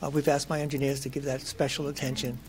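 A hoarse man with a scratchy throat, speaking haltingly and apologetically as a developer at a council meeting. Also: medium pitch, flat delivery. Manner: apologetic, halting, stumbles on words. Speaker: developer at public hearing. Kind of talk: public comment at council meeting.

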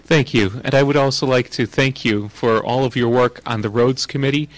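A man chairing a city council meeting, formal and measured, with frequent pauses. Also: medium pitch, steady tone, no room sound. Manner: formal, measured, many uh fillers.

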